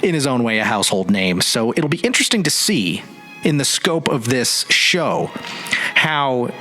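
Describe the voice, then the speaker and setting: A man's voice: mid-range voice, very animated, moderate pace, bright, forceful tone. A man hosting a solo podcast in a casual, reflective and rambling way, often searching for words. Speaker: podcast host. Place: home office indoors. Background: music.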